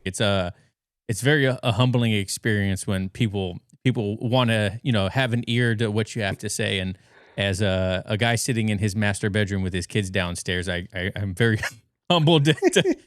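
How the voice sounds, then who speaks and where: A man's voice: on the low side, moderate pace, no echo, clean studio sound. An American man in his thirties chatting casually as a podcast guest, telling stories in an informal, rambling way. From western United States. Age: 30s. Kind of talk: casual conversational storytelling. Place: bedroom at home.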